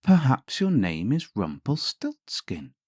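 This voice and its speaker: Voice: mid-range voice, wildly swooping pitch, slow, a little mellow, husky, no echo, studio quality, pitched above the performer's own voice, full character voice. A man voicing an anxious young queen in a storybook tale, tentative and questioning. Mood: tentative guessing. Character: young queen, miller's daughter, anxious and pleading.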